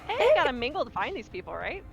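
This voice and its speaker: A woman's voice: high-pitched, wildly swooping pitch, fast talker, gravelly. A woman playing a tabletop roleplaying game, chatting casually in character. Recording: music playing underneath.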